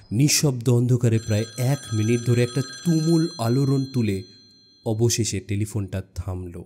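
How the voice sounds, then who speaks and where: A man narrating a suspense story dramatically, with expressive phrasing and deliberate pauses. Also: mid-range voice, noisy background. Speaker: audiobook narrator.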